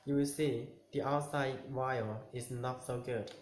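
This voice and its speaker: A man narrating a step-by-step tutorial in short, simple, halting instructions. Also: medium pitch, fairly even intonation. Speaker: tutorial presenter. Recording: echoey room, clear noise, clicks.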